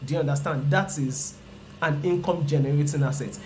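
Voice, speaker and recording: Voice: mid-range voice, brisk, a little mellow, slight background noise. A Yoruba-speaking teacher from Nigeria, with a Nigerian accent, gives an explanatory personal finance lecture. The speaker repeats each point emphatically and keeps asking the listener rhetorical questions.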